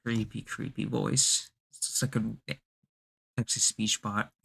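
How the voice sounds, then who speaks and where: A man giving a casual live reaction as a streamer, halting and scared, with informal slang and swearing. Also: fairly low pitch, fairly even intonation, gravelly, sharp tone, slightly rough. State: scared, on edge. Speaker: streamer reacting to a horror video.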